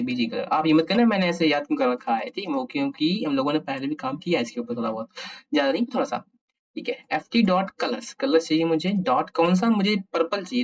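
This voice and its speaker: A man's voice: fairly high pitch, gravelly. Dull-sounding Indian man thinking aloud. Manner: informal Hindi-English mixing, thinking aloud. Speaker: programming tutorial host. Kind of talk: casual screen-share coding tutorial.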